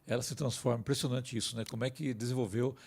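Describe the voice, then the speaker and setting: Fast-talking male radio host. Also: mid-range voice, steady tone, creaky, a little mellow, husky. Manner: chatty, prompting questions. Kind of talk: radio interview.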